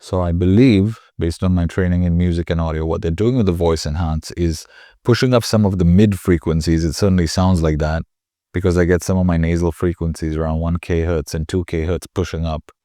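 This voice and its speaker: A man with a slightly nasal voice, casually talking through a software tutorial in a chatty, rambling way. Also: deep voice, steady tone, brisk, no room sound, clean studio sound. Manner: chatty, rambling, self-correcting. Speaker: tutorial host. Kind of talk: casual screen-recording tutorial.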